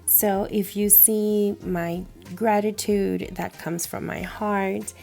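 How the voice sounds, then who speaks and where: A woman in her thirties from Puerto Rico, a native Spanish speaker with a Puerto Rican accent, gently and reflectively talking through her journal pages in a calm vlog voice-over. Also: mid-range voice, gravelly, faint music behind. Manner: slow, gentle and reflective, with soft fillers. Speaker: journaling vlogger.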